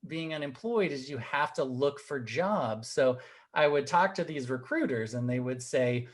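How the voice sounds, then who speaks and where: A man's voice: mid-range voice, lively intonation, a little slow. A young man doing a stand-up set over a video call, firing off punchy, self-deprecating one-liners. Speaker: comedian and host.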